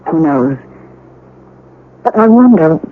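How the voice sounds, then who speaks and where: A gracious, reclusive widow in a 1970s radio drama. She speaks in a measured, genteel, faintly doubting way. The sound is narrow and muffled like an old radio. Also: sits low, expressive, slight background noise. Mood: gracious, curious. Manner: genteel, measured, politely skeptical.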